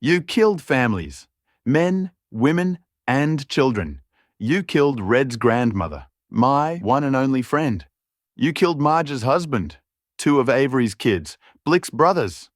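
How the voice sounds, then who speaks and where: A man's voice: mid-range voice, sing-song, a little slow, dry recording, clean studio sound, voice pushed up. A man voicing a righteous, hot-headed male survivor in a fairytale audiobook, confrontational and accusing. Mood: grieving accusation.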